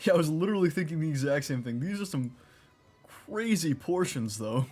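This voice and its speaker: A man's voice: a little high, lively intonation, fairly quick, a little mellow, faint music behind. A young man giving casual live commentary as he streams a video game.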